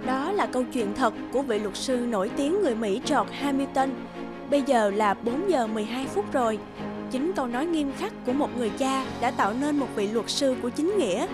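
A woman's voice: sits high, lively intonation, fairly quick, music in the background. A woman narrating a scripted moral story for an animation.

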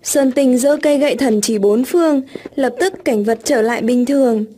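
A woman's voice: high voice, normal speed, noisy background. A woman storyteller reading scripted narration of a tale for children. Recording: loud music under the voice. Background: music.

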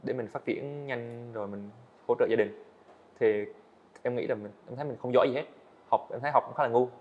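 A man's voice: medium pitch, brisk. An eighteen-year-old man, telling his story candidly as a podcast guest in a studio. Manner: earnest, candid, hesitant restarts. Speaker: guest animator. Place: indoor studio set. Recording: dull lo-fi sound.

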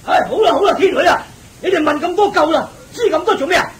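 A man's voice: very high-pitched, fast, noisy background. An actor playing an impatient, blustering demon king in a radio drama, speaking Hong Kong Cantonese. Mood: impatient, bossy.

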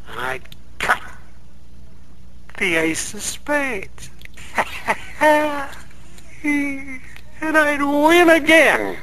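Timid dreamy american night clerk in a radio play, high voice, naive and earnest, faint noise. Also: male voice, huge pitch swings, drawn-out words, long pauses, sharp tone, exaggerated voice, poor audio. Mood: excited daydream. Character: meek, dreamy male night clerk, star-struck by gamblers. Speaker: actor. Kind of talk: radio drama.

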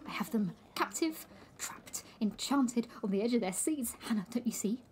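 A woman playing a bossy, excitable village choir leader in an audio drama, theatrical and eager. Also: fairly high pitch, moderate pace, close to an everyday voice. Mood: conspiratorial, urgent. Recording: noisy train carriage ambience.

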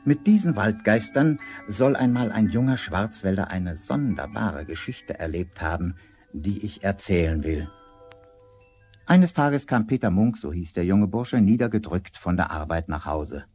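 A man narrating a fairy tale as a storyteller in a measured, scripted 1970s audio drama style. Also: sits low, animated, darkish tone. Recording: vinyl record transfer with music.

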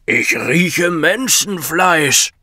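A huge, grumpy storybook giant, acted by a man, bellowing slow, drawn-out commands. The voice is pushed up from talking level and recorded dry. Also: on the high side, lively intonation, sharp tone, no room sound, pitched above the performer's own voice, heavily acted. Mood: gruff bellowing. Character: hungry, bad-tempered giant man, bellowing orders.

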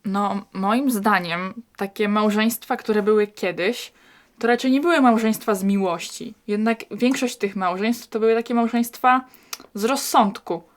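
A woman talks in a casual group discussion. Her voice is medium in pitch, and she puts her views across frankly and informally. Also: a little slow.

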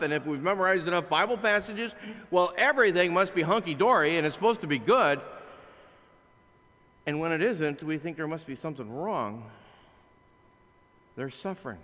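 Older male pastor, bright voice, chapel echo. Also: fairly high pitch. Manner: conversational preaching with animated swings from loud to hushed. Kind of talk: sermon. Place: large chapel, at a wooden pulpit. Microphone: pulpit microphone, filmed by a far camera. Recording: long chapel reverb.

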